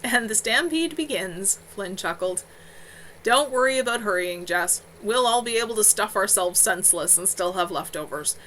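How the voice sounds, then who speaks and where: A woman reads fiction aloud in an expressive, storytelling style. Her voice is bright and forward, with a very lively pitch that moves between narration and animated dialogue. There is faint noise in the background of the home recording. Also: normal speed, low-quality recording. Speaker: author reading own novel. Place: at home on a live stream.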